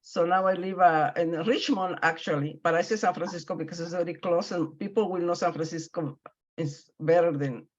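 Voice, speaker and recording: Woman from mexico introducing herself, halting, repeats words, muffled call audio. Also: lowish voice. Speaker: meeting guest. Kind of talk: self-introduction on a video call. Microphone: video call.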